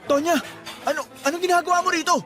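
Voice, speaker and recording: A man's voice: very high-pitched, fairly even intonation, quick pace, sharp tone, faint music behind. A man playing a nervous, evasive two-timing boyfriend in a radio drama, prone to stammering when flustered. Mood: flustered, panicky. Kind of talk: Tagalog radio drama acting.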